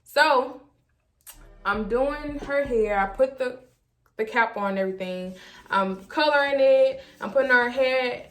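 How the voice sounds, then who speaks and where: A young woman telling a casual story to viewers in an animated way, acting out her own reactions and quotes as she goes. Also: fairly high pitch. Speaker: vlogger.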